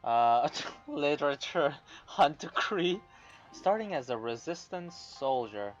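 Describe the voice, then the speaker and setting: A man's voice: fairly high pitch, sing-song, slow. A man streaming a game, giving casual, offhand commentary in short muttered interjections. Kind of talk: casual gameplay commentary, reacting. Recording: dull lo-fi mic, game music behind.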